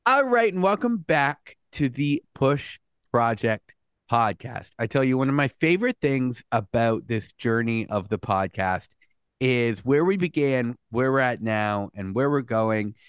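A man's voice: medium pitch, animated, a little slow, smooth, no echo, studio quality. A man hosting a podcast interview, earnest and chatty, with frequent restarts and "mm" sounds. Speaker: podcast host. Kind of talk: casual interview chat.

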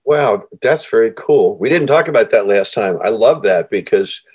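A man's voice: lowish voice, animated, moderate pace, darkish tone, breathy edge. A man hosting an interview. He is enthusiastic and rambles, with many restarts along the way. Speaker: interview host. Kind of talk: conversational interview.

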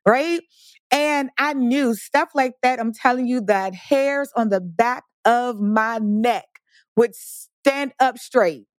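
A woman's voice: high-pitched, no room sound, studio quality. A middle-aged woman hosting a motivational podcast, talking emphatically and asking her listeners rhetorical questions. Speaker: podcast host.

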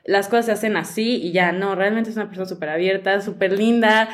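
A woman in her twenties hosting a podcast, talking quickly and casually with lots of fillers. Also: mid-range voice, steady tone. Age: 20s. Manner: quick, chatty, lots of "o sea". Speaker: podcast host.

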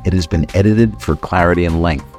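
A man's voice: sits low, brisk, a little mellow, faint music behind. A man hosting a documentary series, reading scripted narration as an introduction.

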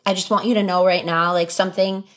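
A woman's voice: fairly low pitch, flat delivery, fast, fairly bright tone. A young woman co-hosting a podcast in casual chat, speaking in an encouraging, heartfelt way to her listeners. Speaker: podcast co-host.

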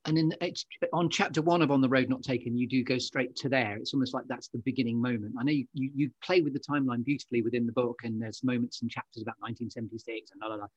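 Male podcast host, fast pace, husky voice, interviewing, dry dull recording. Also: medium pitch, fairly even intonation, no echo. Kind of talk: interviewing, summarising the guest's story.

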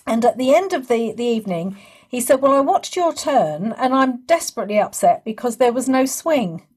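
Woman host, bit raspy, on the higher side, casual chatty storytelling. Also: expressive, normal speed, a little mellow. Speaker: interview host, stage performer.

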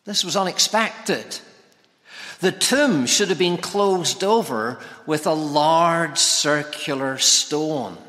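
A man delivers a sermon in a bright, forceful voice pitched a little high. He phrases things deliberately and with emphasis, and his words ring with the long reverb of a hall. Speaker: preacher. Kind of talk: expository sermon. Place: large reverberant church or hall.

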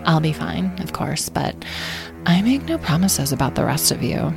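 A grand, testy magical creature from a storybook, acted out by a woman narrator. It speaks with theatrical self-importance and never sounds sorry, stretching words for effect over soft background music. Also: low-pitched, very animated, creaky, full character voice. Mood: exasperated. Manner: drawn-out, theatrical, haughty delivery.

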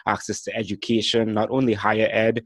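A man's voice: lowish voice, flat delivery, fairly quick, no room sound. A man in his twenties from Trinidad and Tobago, hosting a solo podcast and telling his own career story in an earnest, reflective way. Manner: some lines read from a script. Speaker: podcast host.

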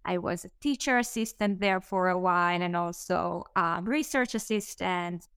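A woman's voice: on the low side, a bit bright, clear, resonant tone, no echo, clean studio sound. A woman from Israel with an Israeli accent, answering interview questions as a startup co-founder, with frequent "um" fillers. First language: Hebrew. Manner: fluent but halting, many "um" fillers.

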